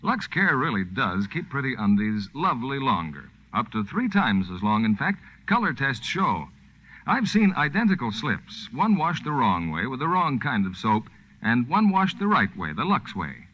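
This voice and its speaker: A man's voice: mid-range voice, big pitch swings, normal speed. A man announcing and reading advertisements on 1940s radio with an emphatic salesman's delivery. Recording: dull vintage radio broadcast with music.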